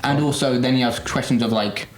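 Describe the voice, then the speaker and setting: A young man takes part in a casual podcast discussion with other panelists. His pitch is average for a man. The sound is very roomy, with faint noise behind him. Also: steady tone, brisk. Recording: echoey room, faint noise.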